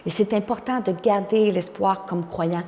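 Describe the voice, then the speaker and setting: A woman's voice: mid-range voice, fairly even intonation, moderate pace, mellow. A woman in her fifties with a Québécois accent, a pastor and conference speaker giving a personal testimony with words of encouragement. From Quebec, Canada. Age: 50s. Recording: long hall-like reverb.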